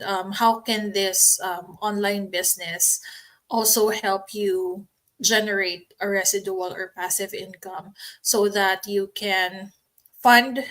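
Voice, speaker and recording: A woman in her late thirties with a Filipino accent, talking casually to camera about her online business, unhurried, with many ums and restarts. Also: medium pitch, a bit bright, low-quality recording. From Philippines. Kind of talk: casual promotional talk to camera.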